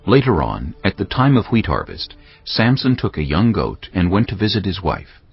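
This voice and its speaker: A deep, gravelly man reads scripture as a dramatic narrator. His delivery rises and falls expressively over prominent music. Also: big pitch swings, normal speed. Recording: dull lo-fi audio with music.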